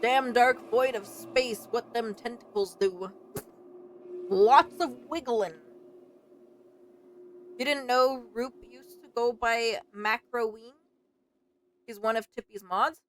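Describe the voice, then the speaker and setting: A woman with a fairly high voice streams a game and chats casually with her viewers. She is playful and quick to react to what she plays. There is faint noise behind her. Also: rough recording. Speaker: livestreamer playing a visual novel. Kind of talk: casual let's-play commentary to chat.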